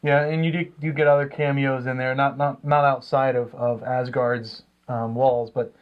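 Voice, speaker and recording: A man's voice: mid-range voice, little pitch movement, normal speed. A man co-hosting a comics review show, chatting casually with hesitant, rambling phrasing. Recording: dull lo-fi sound.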